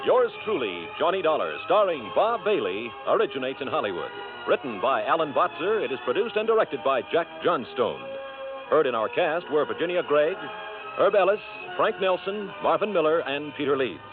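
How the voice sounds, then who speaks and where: A man's voice: fairly high pitch, sing-song, normal speed, over music. A man announcing a 1950s radio program with formal, polished scripted delivery. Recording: tinny 1950s radio broadcast.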